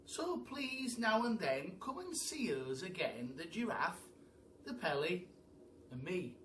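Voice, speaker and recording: A man's voice: sits high, very animated, slow, fairly bright tone, a little hiss or hum, voice pushed up, heavily acted. Man as a sentimental, lilting storybook monkey. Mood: wistful, tender. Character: tearful, sentimental little male monkey singing farewell. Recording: roomy echo, distant mic.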